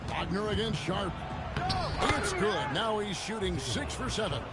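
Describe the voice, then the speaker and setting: A man performing as an excited play-by-play basketball announcer in a video game, calling the action. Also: high-pitched, a little slow, heavy background noise. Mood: focused, animated. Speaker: play-by-play commentator. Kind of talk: sports play-by-play commentary. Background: music, bouncing basketball, dunks.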